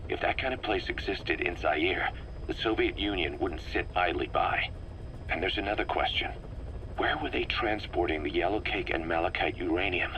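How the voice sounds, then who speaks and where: An actor playing a serious, methodical middle-aged intelligence officer, giving a measured, analytical briefing in a military video game. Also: male voice, low-pitched, sharp tone, poor audio. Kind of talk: scripted briefing, game voice acting. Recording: faint noise on a cassette-tape briefing.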